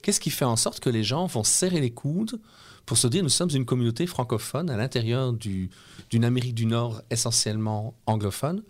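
A man's voice: mid-range voice, moderate pace, a little mellow. Earnest Belgian-accented middle-aged man. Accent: Belgian French. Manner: earnest, explanatory, persuasive. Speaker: guest speaker. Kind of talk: interview conversation.

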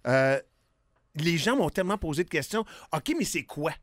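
Quebec host guy, bouncy voice all over the place, slightly high, dry mic. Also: lively intonation, moderate pace, no room sound. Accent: Québécois French. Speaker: podcast host. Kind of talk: casual podcast chat.

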